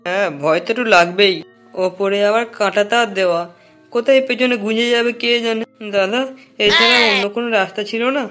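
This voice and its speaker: A man's voice: sits high, steady tone, brisk, bright, forceful tone, soft background music. A man voicing a hapless, timid young male prisoner in a comic cartoon, pleading and whiny. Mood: nervous pleading.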